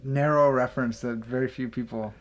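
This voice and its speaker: A man's voice: mid-range voice, fairly even intonation, a little fast, highs cut off. A man in his twenties chatting casually as a podcast co-host, joking and bantering as he goes. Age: 20s. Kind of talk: casual chat.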